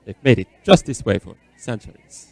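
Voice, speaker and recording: A man playing a mysterious, ageless gentleman in a radio drama, speaking in a measured, formal and cryptic way. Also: medium pitch, big pitch swings, creaky, slightly rough, heavily acted. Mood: cool, wistful. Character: mysterious, courtly, ageless stranger savoring cognac.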